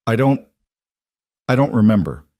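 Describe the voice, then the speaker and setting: A man's voice: mid-range voice, sing-song, normal speed, a little mellow, dry recording, studio quality, close to an everyday voice. A man voicing a frightened young woman with amnesia, talking in panicky, breathless pleas. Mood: scared.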